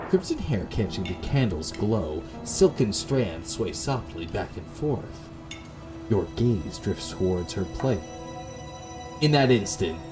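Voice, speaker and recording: A man from Canada reading visual novel narration aloud expressively, with casual gamer reactions. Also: on the low side. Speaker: gaming video host.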